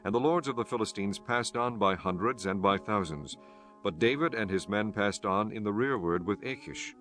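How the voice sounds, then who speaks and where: A man giving measured, formal narration for a scripture audiobook. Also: on the low side, a bit bright, faint music behind.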